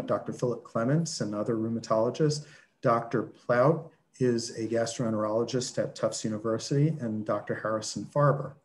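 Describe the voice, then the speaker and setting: Older man giving a relaxed video-call talk. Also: medium pitch, a little hiss or hum. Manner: relaxed, conversational, with fillers. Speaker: dental school lecturer presenting. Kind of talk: conference presentation over video call. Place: home office. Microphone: video call webcam.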